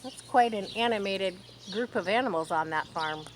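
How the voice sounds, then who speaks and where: A woman reading a children's story in an expressive storytelling style, with informal asides. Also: medium pitch, moderate pace. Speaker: storybook reader. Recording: faint noise with birdsong behind. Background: birdsong.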